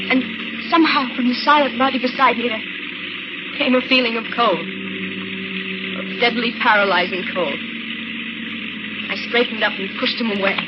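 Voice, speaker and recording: A woman acts out an anxious, pleading female passenger in a vintage radio thriller. Her voice is high and hesitant, on a muffled recording thick with noise. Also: close to an everyday voice. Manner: breathless, hesitant, melodramatic. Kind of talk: old-time radio thriller acting.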